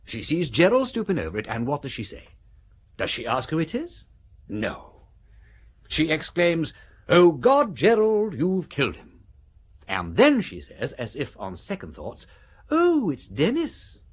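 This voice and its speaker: An actor playing a witty aristocratic English amateur detective in a British radio drama, brisk and theatrical as he reasons aloud. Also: fairly high pitch, huge pitch swings, slightly rough, exaggerated voice. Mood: keen, argumentative. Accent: upper-class English.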